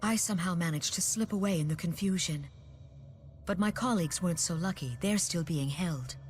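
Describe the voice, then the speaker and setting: A woman playing a dignified, mature head of government in a video game, with composed, formal and measured speech. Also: on the low side, lightly acted. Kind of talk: video game cutscene acting. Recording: faint background music.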